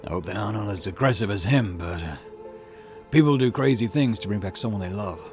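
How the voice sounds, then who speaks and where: Brief: gruff, steady male police boss for a visual novel, acted by a male player, low-pitched, music underneath. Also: brisk, natural voice. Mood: grave, calm. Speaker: let's player voicing game dialogue. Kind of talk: visual novel dialogue read aloud.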